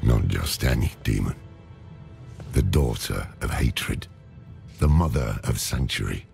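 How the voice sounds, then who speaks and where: A man playing a gruff, world-weary old hermit scholar with a dry wit, in a dramatic video game cutscene. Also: lively intonation, exaggerated voice. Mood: grave. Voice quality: very deep, gravelly. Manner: slow, weighty, sardonic. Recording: loud music behind the voice.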